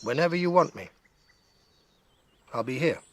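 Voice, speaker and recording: A man voicing a calm, friendly, magnificent tiger in a gentle, unhurried manner, from a storybook. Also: on the high side, pitched above the performer's own voice.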